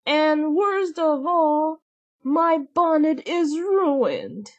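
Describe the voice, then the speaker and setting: Vain young stepsister for a children's storybook, a woman acting. High, whiny, boastful, drawling slowly through exclamations. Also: lively intonation, dry recording, full character voice. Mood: whining, upset. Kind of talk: children's storybook read-aloud.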